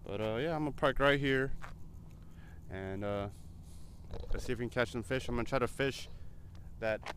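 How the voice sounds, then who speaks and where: A man's voice: mid-range voice, normal speed, long pauses, a bit bright. An American man in his twenties chatting casually to camera as a vlogger, laid-back and rambling. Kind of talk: casual vlog chat to camera. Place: inside a small car and outdoors in open parking lots. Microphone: action camera at arm's length or mounted. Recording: noisy action camera, car and outdoor ambience.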